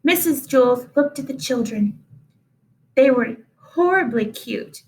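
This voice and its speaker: A woman reading a children's book aloud as an expressive storyteller. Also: high-pitched, a little slow, low-quality recording. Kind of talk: expressive read-aloud narration.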